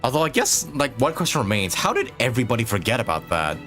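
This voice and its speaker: A man's voice: medium pitch, big pitch swings, quick pace, fairly bright tone, faint music behind. A man doing casual live game commentary, thinking aloud in musing asides. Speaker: game streamer.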